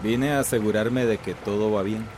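Actor as a low-voiced, disdainful boss. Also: male voice, steady tone, normal speed, noisy background, close to an everyday voice. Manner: dismissive, curt. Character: gruff, disdainful company boss. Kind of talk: radio drama acting.

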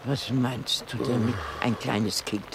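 An actress playing an inquisitive, fretful elderly wife in a radio drama, halting and repeating herself with exclamations. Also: female voice, rumbling low, steady tone, vocal fry, noisy background, heavily acted. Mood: insistent.

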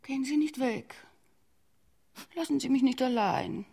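A woman's voice: high-pitched, a little slow, dark tone, husky, full character voice. An actress playing a pleading, insistent mother of many children in a theatrical radio drama. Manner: theatrical, imploring. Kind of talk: German radio drama acting.

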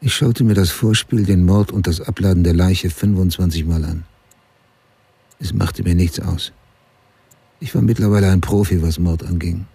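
A man's voice: sits low, moderate pace, creaky, dark tone, husky, no room sound, exaggerated voice. An actor playing a sober, hard-boiled crime writer who narrates his past in a terse, matter-of-fact way in a radio drama.

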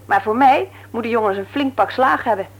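An actress in a Dutch radio play, playing a brisk, teasing, no-nonsense nurse married to a man she likes to mock. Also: on the high side. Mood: firm, critical. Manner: brisk, mocking, matter-of-fact. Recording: noisy old radio recording with hum.

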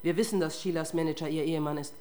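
A woman's voice: low voice, steady tone, racing, dark tone, close to an everyday voice. An actress playing a tough, relentless female police detective in a German radio crime drama, confrontational and sardonic.

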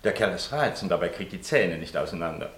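An actor playing a talkative, easily exasperated private detective in a German radio play, with an emphatic storytelling manner. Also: male voice, low-pitched, expressive, fast talker, a bit bright, roomy sound. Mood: businesslike, patient.

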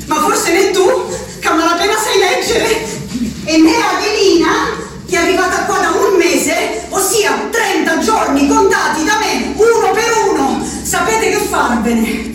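Brief: an asylum inmate with an Abruzzo accent, very high voice, miked from far across an echoing stage with noise. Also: female voice, lively intonation, a little slow, over music. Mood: bitter. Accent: Abruzzo Italian. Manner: fervent, rambling, repetitive. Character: woman shut in an asylum, obsessively counting. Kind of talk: stage monologue. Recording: echoey stage from far off, noisy.

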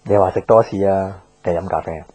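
A man's voice: deep voice, fairly even intonation, quick pace, dark tone, muffled recording. An actor in a Hong Kong Cantonese radio drama playing a brooding, guarded young office man given to introspection. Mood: guarded, evasive. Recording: guitar music behind the voice. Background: guitar music.

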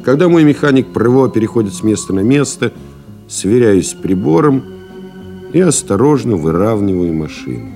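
An actor playing a reflective pilot-writer, narrating memories in a measured, solemn, literary radio-play style. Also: male voice, lowish voice, big pitch swings, warm tone, faint music behind. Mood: tense, brisk. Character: reflective male pilot-writer recalling friends and desert flights.

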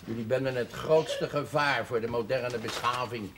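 A man's voice: medium pitch, fairly even intonation, moderate pace, close to an everyday voice. Casting: man playing coarse, blustering drunk dad with contempt for the Church, TV drama, faintly noisy old soundtrack. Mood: combative. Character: gruff, drunken socialist father who scorns the Church. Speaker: actor in a television drama series. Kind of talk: television drama dialogue.

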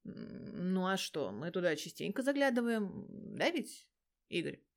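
A woman voicing a cheeky, smooth-talking police major, a man in his thirties, in an audio story. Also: fairly low pitch, sing-song, unhurried. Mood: glib, coaxing.

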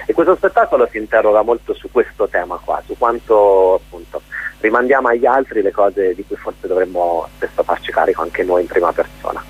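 A man's voice: lowish voice, husky, dry recording. A man being interviewed on radio as a guest playwright and director, explaining at length in a reflective, articulate way over the telephone. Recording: muffled phone-line sound.

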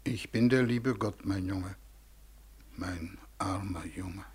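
Slow, husky, feeble old God. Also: male voice, low-pitched, exaggerated voice. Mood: pitying. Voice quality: soft, husky. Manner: whining, plaintive. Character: feeble old man as God, sorrowful, pitying, helpless. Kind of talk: 1940s German radio play acting.

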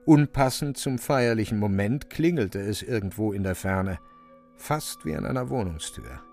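A man's voice: on the low side, expressive, normal speed, gravelly, a little mellow, soft background music. A man narrating a literary radio play in a scripted storytelling style.

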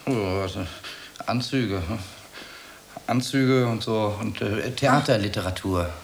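An actor playing an earnest, pedantic bank clerk in love, hesitant and plaintive, repeating himself in a German radio play. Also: male voice, lowish voice, takes it slowly, gravelly. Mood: sheepish. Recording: steady background hiss.

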